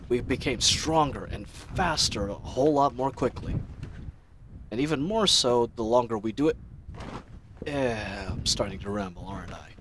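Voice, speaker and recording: A man playing an earnest, chatty knight captain in a fantasy audio roleplay, reflective and rambling, over clearly audible ambient sound effects. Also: mid-range voice, fairly bright tone, slight background noise. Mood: animated, rambling. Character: earnest, chatty young male knight captain, idealistic and teasing. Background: added outdoor ambience sound effects.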